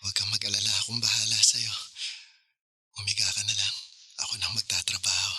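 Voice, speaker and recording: A man's voice: on the low side, little pitch movement, a little fast, pushed and bright, husky, no echo, full character voice. A man playing an obsessive, excuse-making young boyfriend in a Filipino radio drama. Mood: soothing, low.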